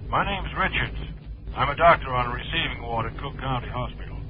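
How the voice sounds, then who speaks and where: An actor playing a sober, matter-of-fact hospital doctor heard through a telephone filter in a 1950s radio drama. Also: male voice, mid-range voice, fairly quick. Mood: grave, businesslike. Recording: dull old radio recording, faint noise.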